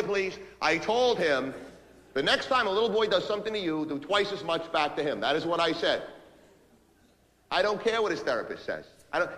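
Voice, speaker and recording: Actor as a sharp, abrasive New businessman, echoing hall. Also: high-pitched. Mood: exasperated, indignant. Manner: impatient, ranting, barking orders and repeating himself. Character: abrasive, frantic New York businessman, bullying and self-important. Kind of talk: theatrical comic monologue as one-sided phone calls. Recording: reverberant hall.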